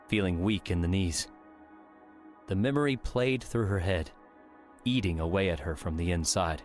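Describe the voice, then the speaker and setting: Low deep guy reading an audiobook story, music behind him. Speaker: audiobook narrator. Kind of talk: scripted story narration. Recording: music under the voice.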